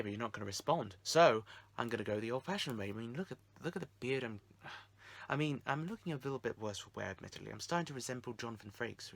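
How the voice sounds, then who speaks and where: A man's voice: medium pitch, pushed and bright, dry recording. A British man chatting casually to camera and giving beginner advice in a rambling, wry, self-deprecating way. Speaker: amateur audio drama creator and vlogger.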